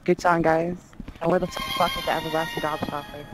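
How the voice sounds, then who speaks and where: A man's voice: a little high, little pitch movement, fairly quick, music in the background, low-quality recording. A man in brash, slangy casual banter as a player in a social VR game.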